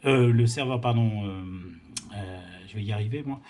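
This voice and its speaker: A man's voice: mid-range voice, normal speed, darkish tone. Guy from france hosting a casual radio tech tutorial, mid-range voice, kinda hesitant, trips over words and repeats. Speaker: amateur radio tutorial host. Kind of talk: informal technical explanation and interview.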